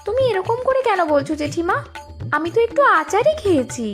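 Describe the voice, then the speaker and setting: An innocent young village girl, voiced by a woman in a cartoon, plaintive and wounded in a very high, lilting voice. She speaks over clear music. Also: sing-song, brisk, exaggerated voice. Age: young adult. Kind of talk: cartoon voice acting.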